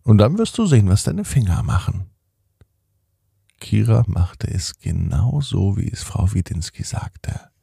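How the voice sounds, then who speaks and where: A middle-aged man hosting a children's podcast, telling a bedtime story in a slow, soothing, gently animated way. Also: on the low side, gravelly, breathy edge, no room sound, studio quality.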